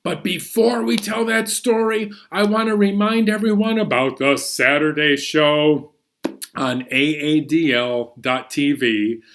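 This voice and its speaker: A man's voice: fairly high pitch, a little slow, fairly bright tone. An American man hosting a story time for young children, playful and exclaiming as he introduces songs and stories.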